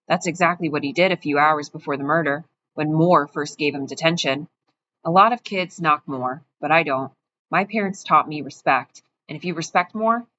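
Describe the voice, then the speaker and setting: A woman's voice: sits low, fairly bright tone. A woman voicing a resentful, judgmental teenage schoolboy in an audiobook reading, talking bluntly and coldly. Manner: blunt, cold, matter-of-fact. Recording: dull, muffled audio with faint hiss.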